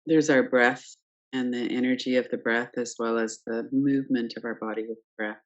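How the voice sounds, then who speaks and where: A woman teaching guided meditation, speaking calmly and gently in short phrases with pauses between them. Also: extremely low pitch, lo-fi sound. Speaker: yoga nidra meditation teacher. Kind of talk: guided meditation, unscripted instruction.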